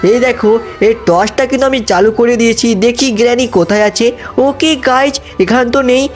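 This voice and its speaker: A gameplay narrator telling a cartoon story in an excited, exclamatory way, constantly addressing viewers. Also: mid-range voice, fast talker, soft background music. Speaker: gaming video narrator playing the lead character.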